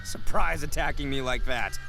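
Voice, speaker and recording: A man's voice: medium pitch, moderate pace, gravelly, close to an everyday voice. A man voicing a brash young horned oni gang boss in a video game, theatrical and given to stammering bluster. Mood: cocky, defiant. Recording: game music under the voice.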